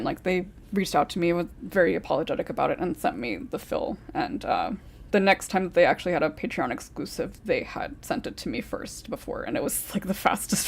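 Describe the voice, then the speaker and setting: A woman's voice: fairly low pitch, darkish tone. A woman who writes scripts, chatting casually on a podcast and rambling with lots of fillers.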